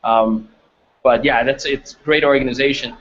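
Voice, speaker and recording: A man interviews in a casual, conversational way, with halting phrasing and repeated words. His voice sits at a medium pitch. The recording is a little dull and lo-fi, like call audio. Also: steady tone, fairly bright tone, a little hiss or hum. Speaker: interviewer, host.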